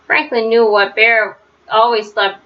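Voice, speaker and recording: A woman reading a children's storybook aloud as narrator, in an expressive style. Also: on the high side, a little slow. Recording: slightly dull, lo-fi home recording.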